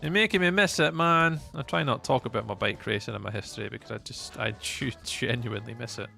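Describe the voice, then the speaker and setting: Scottish male streamer, gravelly and bright, chatty, rambling, lots of ums. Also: mid-range voice, brisk, faint music behind. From Scotland. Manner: rambling and chatty, many fillers and restarts. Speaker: live streamer. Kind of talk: casual chat with live chat while gaming.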